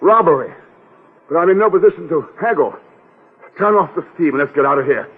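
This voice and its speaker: Wry secret agent, muffled old radio. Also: male voice, a little high, animated, breathy edge. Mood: exasperated. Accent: American. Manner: brisk, wisecracking, hard-boiled. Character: quick-witted, wry American secret agent hero. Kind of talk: 1940s radio adventure acting.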